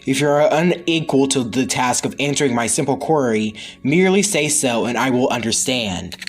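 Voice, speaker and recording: A man's voice: medium pitch, expressive, moderate pace, natural voice. A man voicing a logical android superhero from a comic, speaking in formal, precise phrases. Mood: coolly formal. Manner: stiffly formal, precise wording.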